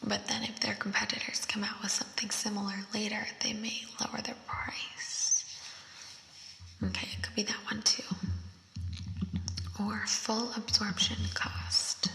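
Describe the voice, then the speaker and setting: A young woman doing ASMR, whispering softly as she reads quiz questions and thinks through them aloud, with a voice effect on her whisper. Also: medium pitch, flat delivery, creaky. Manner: soft unvoiced whisper, slow and thinking aloud. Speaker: ASMR creator. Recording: roomy echo with long reverb.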